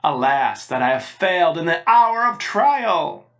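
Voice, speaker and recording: A storybook knight in armour, played by a woman, pitched down low. He speaks in a pompous, archaic, chivalrous way, with gallant gravity. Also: sing-song, unhurried. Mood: mournful, ashamed. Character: weary, gallant old-fashioned male knight in armour. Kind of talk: dramatic storybook reading.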